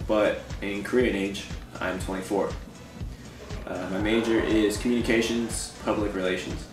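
A man's voice: fairly low pitch, flat delivery, normal speed, music in the background. Upbeat young man, echoey distant mic. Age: 20s. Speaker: exchange student. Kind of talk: interview answers.

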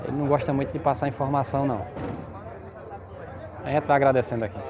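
A man's voice: medium pitch, fairly even intonation, quick pace. A man with a Northeastern Brazilian accent chatting with vendors at an outdoor cattle fair, friendly and teasing. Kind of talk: casual chat with vendors. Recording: loud crowd around. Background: crowd chatter.

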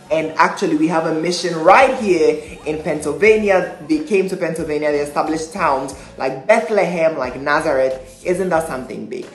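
A man's voice: fairly high pitch, reverberant. A young man hosting a church history video, speaking energetically and asking the viewer questions. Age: young adult. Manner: animated, gestures a lot, asks the viewer questions. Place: small indoor room, plain wall.

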